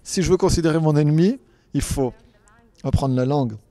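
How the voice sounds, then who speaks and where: A man interpreting a panel speaker simultaneously, talking in halting phrases with frequent restarts and self-corrections. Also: a little high, warm tone, no room sound. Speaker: conference interpreter.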